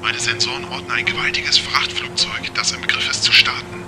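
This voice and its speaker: A man playing a composed, watchful talking car computer in an audio drama, speaking in a measured, informative way. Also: mid-range voice, fairly even intonation, heavy background noise, close to an everyday voice. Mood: calm, alert. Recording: very loud music and engines behind.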